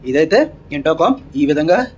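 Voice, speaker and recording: A man's voice: a little high, very animated, normal speed. A man gives a casual step-by-step vlog explanation, mixing in English terms. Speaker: seafarer vlogger. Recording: clear ambient background noise.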